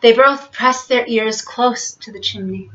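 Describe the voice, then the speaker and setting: A woman storyteller giving an expressive, scripted narration of a children's bedtime story. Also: on the high side, a little slow, highs cut off.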